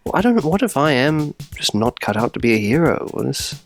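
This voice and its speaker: Woman playing a low-voiced, insecure young would-be hero. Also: sing-song, a little fast, soft background music, heavily acted. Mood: anxious, doubting. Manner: petulant, self-doubting. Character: insecure young man Hamlet, desperate to prove himself a hero.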